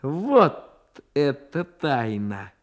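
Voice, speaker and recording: A man voicing a cocky, gloating schoolboy in a radio story, taunting and declamatory with drawn-out words. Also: medium pitch, very animated. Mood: smug, solemn.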